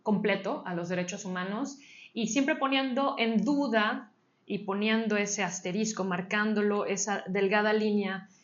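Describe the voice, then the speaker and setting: Mexican woman co-hosting a podcast. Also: mid-range voice. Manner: measured, piles up lists of phrases. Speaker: podcast co-host. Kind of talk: reflective podcast conversation. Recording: slightly dull lo-fi sound.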